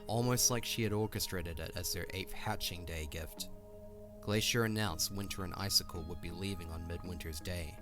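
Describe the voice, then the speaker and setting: Deep-voiced male storyteller over music. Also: normal speed. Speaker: narrator. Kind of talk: scripted audio drama storytelling narration.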